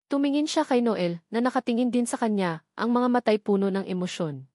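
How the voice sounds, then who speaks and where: A woman's voice: on the high side, lively intonation, brisk, darkish tone, dry recording. Filipino woman narrating, dry close sound. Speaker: audiobook narrator. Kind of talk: scripted dramatic narration of a love story.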